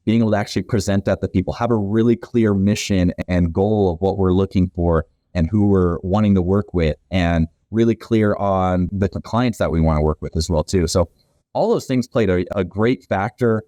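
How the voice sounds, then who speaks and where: Male guest in his forties: low, mellow, easygoing and chatty, recorded dry and close. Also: a little fast, no room sound. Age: 40s. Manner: friendly, rambling with restarts. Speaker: guest, company founder. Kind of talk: casual interview answers.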